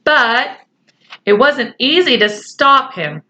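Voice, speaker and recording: Slow, bright woman reading a storybook. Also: sits high, animated, highs cut off, slight background noise. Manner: chatty asides to listeners. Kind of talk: reading a children's book aloud.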